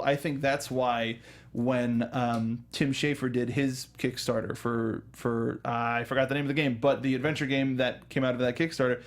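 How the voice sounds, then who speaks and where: Enthusiastic, halting man hosting a casual discussion. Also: medium pitch. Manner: halting, often restarts sentences mid-thought; enthusiastic fan talk. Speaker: host of a film review show. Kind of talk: casual panel discussion of films and games.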